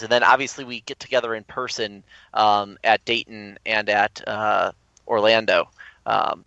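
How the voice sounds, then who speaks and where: A man's voice: fairly low pitch, creaky, a bit bright, no echo. A man speaking as an interview guest, explaining things casually over a slightly muffled remote connection. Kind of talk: casual, informative conversation. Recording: slightly dull remote call audio.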